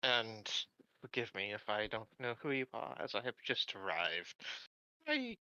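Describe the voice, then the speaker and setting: Man playing curt security guard, reserved, deadpan menace, sharp-edged tone, tabletop game, slightly dull close audio. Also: lowish voice, measured pace, clean tone, dry recording, exaggerated voice.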